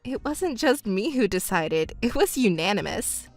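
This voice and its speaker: A woman voicing a composed adult stepdaughter in a melodramatic story, speaking in a measured, firm, reproachful manner. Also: medium pitch, sing-song, no room sound, faint music behind. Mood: cool. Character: cool, composed adult stepdaughter confronting her mother. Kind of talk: melodramatic scripted story dialogue.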